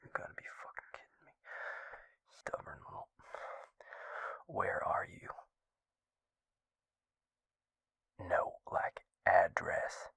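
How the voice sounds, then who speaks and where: Man playing a gravelly, whispering vampire. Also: level tone, slow, pauses often, dry recording, exaggerated voice. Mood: exasperated, insistent. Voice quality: breathy, gravelly low murmur. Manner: hushed, blunt but tender. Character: gruff, caring adult male vampire healer, protective and exasperated. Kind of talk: intimate roleplay audio acting.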